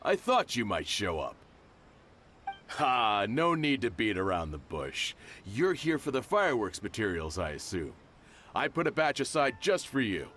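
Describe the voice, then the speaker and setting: A man's voice: lowish voice, sing-song, unhurried, fairly bright tone, a little hiss or hum. A man voicing a generous, chuckling middle-aged shopkeeper and father in a game dub. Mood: jovial. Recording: game audio with music behind.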